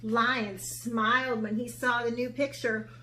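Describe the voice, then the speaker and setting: A woman's voice: fairly high pitch, slow, slight background noise. A woman who teaches children's art, speaking expressively and encouragingly, as for a read-aloud or step-by-step lesson. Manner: encouraging, expressive, asks checking questions.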